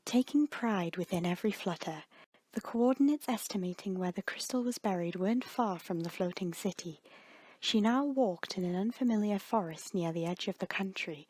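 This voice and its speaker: A woman's voice: medium pitch, a little mellow, no echo. A woman reading fan fiction aloud as a scripted, dramatic story narrator. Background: background music.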